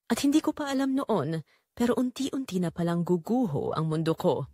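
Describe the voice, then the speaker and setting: A woman's voice: fairly low pitch, sing-song, moderate pace, dark tone, no room sound. Actress as wronged married daughter, female storyteller for audio drama. Emotive and confiding, widely swinging intonation, bone-dry recording. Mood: uneasy, suspicious. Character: betrayed married daughter confiding a painful family secret. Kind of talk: first-person dramatic storytelling narration.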